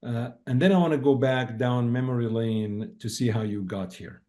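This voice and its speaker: A man hosts an interview podcast in a casual, loose way. His voice sits at a middle pitch. He keeps the talk informal and chatty, full of "like" and "um". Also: moderate pace, dark tone. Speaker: podcast host.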